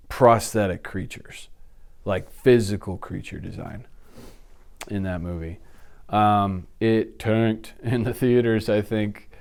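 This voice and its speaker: A man in his thirties chatting casually as an interview guest, telling stories in an animated way and acting out reactions. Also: on the low side, smooth. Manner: animated storytelling, acts out reactions and sound effects. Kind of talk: casual chat about films and games. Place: indoor office studio.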